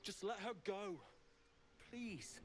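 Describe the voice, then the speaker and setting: An actor playing a desperate, accusing young brother in a video game, pleading urgently. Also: male voice, sits high, expressive, slow, slight background noise.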